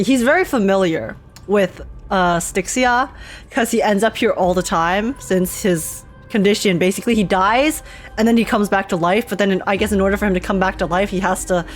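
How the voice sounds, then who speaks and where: A woman streaming a video game, reacting to the story in casual, animated, expressive commentary and talking back to it. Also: medium pitch, normal speed. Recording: game music behind the voice. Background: video game music.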